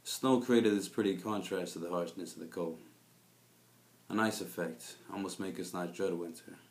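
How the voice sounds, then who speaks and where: Guy doing a jaded noir private eye, real low voice, brooding storyteller, roomy echo. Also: fairly even intonation, normal speed. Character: world-weary, cynical male private investigator in a bleak city. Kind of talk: scripted noir detective monologue.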